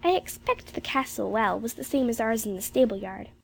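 A very high, plaintive voice for a storybook little girl, acted by a woman. She is timid and dreamy, speaking with wide-eyed innocence. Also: animated, brisk, creaky. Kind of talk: children's storybook character voice.

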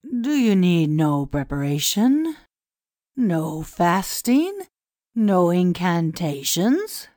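A woman voicing a pompous, scheming male Egyptian priest in an audiobook, speaking in a grand, confiding, measured manner. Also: lowish voice, darkish tone, no room sound, clean studio sound. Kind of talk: audiobook dialogue reading.

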